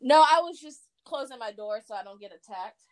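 A woman guest in casual chat over a remote call, sounding congested from allergies, animated and acting out little voices in her stories. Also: sits high, fairly quick. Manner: animated storyteller, acts out voices.

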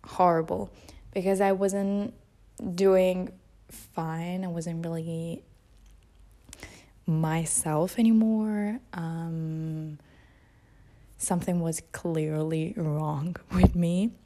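A woman's voice: fairly low pitch, steady tone, drawn-out words, long pauses, dark tone. A young woman, hosting a casual unscripted podcast from her couch, chatty and self-deprecating, recorded without a proper mic. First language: Italian. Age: early 20s. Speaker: podcast host. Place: at home on a couch.

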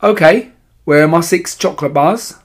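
Man doing a loud, bratty, high-pitched boy. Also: normal speed, natural voice. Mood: impatient. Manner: loud, demanding, brash. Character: greedy, rude, bossy spoiled rich boy. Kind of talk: audiobook character voice.

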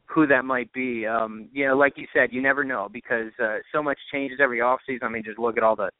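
A man speaks over a narrow, phone-like connection with no room echo. He is a podcast guest with a mid-pitched voice, giving relaxed, conversational sports analysis like an announcer off duty. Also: fast. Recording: tinny, band-limited phone-like line.

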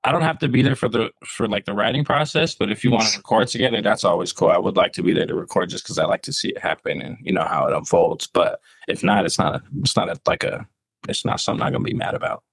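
Laid-back low-voiced young man. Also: quick pace, vocal fry, fairly bright tone, husky, dry recording, poor audio. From Arizona. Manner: laid-back, hesitant, lots of restarts. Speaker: rapper guest. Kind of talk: casual interview answers.

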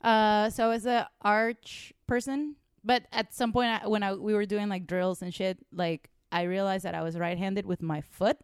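A woman from Venezuela who grew up speaking Spanish chats casually in a smooth, clear voice, recorded close and dry. She tells stories loosely, giggly and self-mocking, and swears freely. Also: mid-range voice, steady tone, normal speed, few pauses, no room sound. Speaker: podcast guest, comedian. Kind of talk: casual conversational storytelling.

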